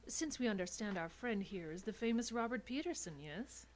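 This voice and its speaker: A woman's voice: on the high side, animated, normal speed, a little hiss or hum, close to an everyday voice. Woman as refined, lilting, eerie vampire wife. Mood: polite, eerie. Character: polite, eerie female vampire wife, coolly insistent. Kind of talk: horror audio drama acting.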